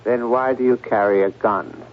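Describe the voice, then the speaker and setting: An actor playing a stern doctor, questioning firmly and deliberately in a 1970s radio drama. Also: male voice, mid-range voice, fairly even intonation, close to an everyday voice. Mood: stern, probing. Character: stern, probing male doctor confronting his patient. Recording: thin, phone-like old radio broadcast.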